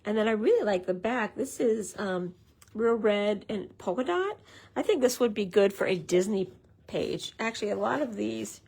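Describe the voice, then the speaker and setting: Chatty craft demonstrator, woman, hoarse with a head cold, gravelly. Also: mid-range voice, animated, moderate pace, a little mellow. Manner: chatty, greets viewers, many fillers. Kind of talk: casual live craft tutorial.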